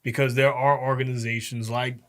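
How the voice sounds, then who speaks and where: A man in his forties, a guest in a casual interview, explaining things step by step and pausing often on um and uh. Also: medium pitch, little pitch movement, a bit bright. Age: 40s. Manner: explains step by step, halting with frequent um and uh. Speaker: program director, guest.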